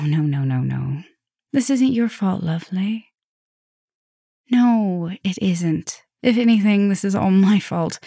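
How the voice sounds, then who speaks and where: A woman playing a flirtatious, caring, softly dominant vampire professor in an intimate roleplay ASMR, speaking softly and breathily with teasing drifts into whispers. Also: fairly low pitch, no echo, studio quality, full character voice. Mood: playful, reassuring.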